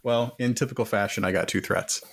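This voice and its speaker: A man's voice: lowish voice, steady tone, fairly quick, gravelly, background noise. A man playing a tabletop role-playing game, chatting casually as he works out his moves.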